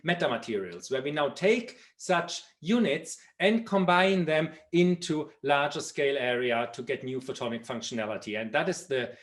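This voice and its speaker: A middle-aged German-accented man lectures as a visiting professor, pausing on fillers and restarting his phrases. His voice sits a little high for a man. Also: lively intonation. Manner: thoughtful, with frequent fillers and restarts. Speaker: professor giving a seminar talk. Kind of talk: academic lecture.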